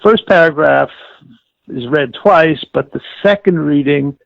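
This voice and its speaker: An older man being interviewed, explaining and reminiscing in a deliberate, halting way with formal wording and self-corrections, in a gravelly, husky voice. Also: fairly high pitch. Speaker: interviewee, former audio producer-director. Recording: tinny phone-like line with room echo.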